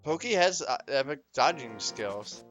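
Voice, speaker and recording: A man commentating a video game race in a lively voice that swings up and down in pitch, with a bright tone. He jokes around and reacts eagerly to the action. Faint music plays under him. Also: a little slow. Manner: jokey, animated, reacts loudly to game events. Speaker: speedrun commentator. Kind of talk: casual play-by-play commentary.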